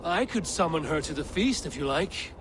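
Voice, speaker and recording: Man acting proud warrior lord, fairly high voice. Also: expressive, normal speed, background noise, close to an everyday voice. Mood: composed. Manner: formal, controlled. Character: proud, measured warrior god-lord, honored guest. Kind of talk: video game voice acting.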